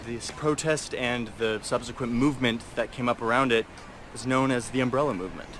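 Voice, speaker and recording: A man's voice: mid-range voice, a little fast, loud music behind the voice. A man presenting and narrating on location in a city park. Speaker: presenter interviewing locals.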